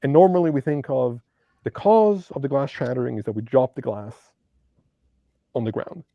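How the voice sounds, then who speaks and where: A man's voice: mid-range voice, lively intonation, normal speed, creaky, no room sound, highs cut off. A man speaking English as a second language, who hesitates and gropes for words with many ums while discussing philosophy as a guest. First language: not English. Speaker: debate guest.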